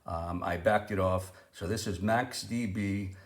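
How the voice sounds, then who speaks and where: A man's voice: low-pitched, a little slow. A man giving a casual, conversational product review and demonstration, feeling his way through sentences and correcting himself.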